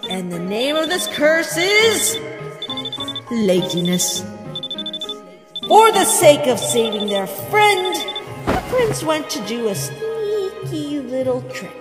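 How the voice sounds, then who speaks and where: A woman's voice: high-pitched, very animated, slow, pauses often, reverberant, in a large hall, loud music behind the voice, heavily acted. A woman playing a bossy, mischievous ghostly child with theatrical, teasing flair in a comic dub. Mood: mock-dramatic narrating.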